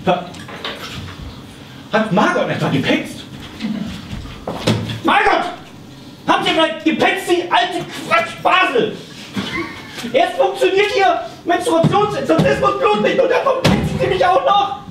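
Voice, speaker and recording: An inept, whiny psychotherapist acted by a man in a live comedy radio play. The voice is very high and slow, evasive and childish. The sound is distant and echoey, with noise from the hall. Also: lively intonation. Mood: outraged. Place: small theatre stage with audience. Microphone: far camera. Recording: distant, noisy, echoey theatre stage recording.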